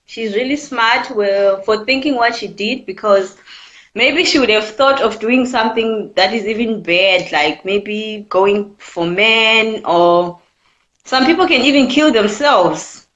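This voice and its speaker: A woman's voice: mid-range voice, unhurried, a bit bright, reverberant, highs cut off. A woman in her twenties from Zimbabwe with a Zimbabwean accent, calling in to share her opinion in an earnest way that keeps returning to her points. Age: 20s. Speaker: caller. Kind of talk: call-in opinion chat.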